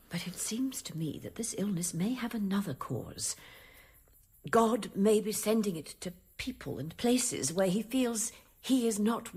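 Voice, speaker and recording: Accusing holy nun, radio drama: gravelly, very husky, falsely humble, insinuating. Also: female voice, lowish voice, a little mellow, heavily acted. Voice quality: very breathy, creaky. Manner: insinuating, pointed. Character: pious, accusing zealot nun with sly false humility.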